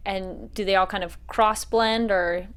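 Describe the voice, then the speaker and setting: A woman's voice: mid-range voice, steady tone, measured pace, clean tone. A woman hosting an interview, asking questions in a friendly, chatty way and backing the guest up with small agreements. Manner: friendly, chatty, laughs often, backchannels with mm-hmm. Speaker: interview host.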